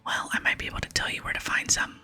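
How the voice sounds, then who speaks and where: A woman's voice: racing, sharp tone. A woman voicing a wheedling, rum-loving old male pirate from a game in a lilting whisper. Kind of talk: whispered reading of game dialogue. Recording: close whisper with music behind.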